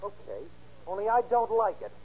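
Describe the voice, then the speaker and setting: An American actor playing a jealous, menacing, possessive boyfriend in slangy old radio crime drama style. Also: male voice, sits high, very animated, moderate pace, a little mellow, husky, exaggerated voice. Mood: angry, accusing. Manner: slangy, needling, bullying. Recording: muffled noisy old radio recording.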